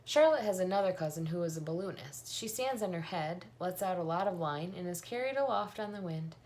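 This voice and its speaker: A woman's voice: on the low side, animated, a little fast, darkish tone, natural voice. A woman reading the part of an earnest, eager eight-year-old farm girl in a storybook, matter-of-fact and insistent.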